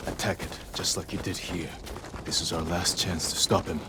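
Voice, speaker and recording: Man acting a low-voiced, grave samurai warrior. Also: flat delivery, noisy background, natural voice. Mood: grave resolve. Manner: terse, solemn, measured. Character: grave, resolute male samurai warrior plotting battle. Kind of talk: video game cutscene acting.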